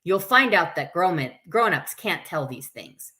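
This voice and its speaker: A woman's voice: fairly low pitch, very animated, measured pace, bright, forceful tone. A woman hosting a story time, reading a picture book aloud expressively with informal, chatty asides to her listeners. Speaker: camp staff story time host.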